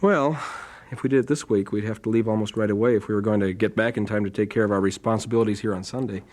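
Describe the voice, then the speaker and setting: An actor playing a good-humored, earnest young missionary husband in a radio drama, with an American accent and a gentle, slightly bashful manner. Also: male voice, fairly low pitch, fairly even intonation, fast talker, lightly acted.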